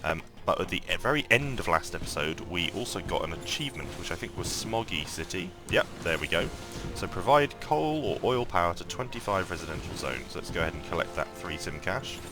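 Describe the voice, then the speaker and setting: A man with a croaky morning voice giving casual gameplay commentary, thinking aloud and rambling with lots of ums and restarts. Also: low voice, animated, brisk, over music. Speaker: mobile game let's-play host.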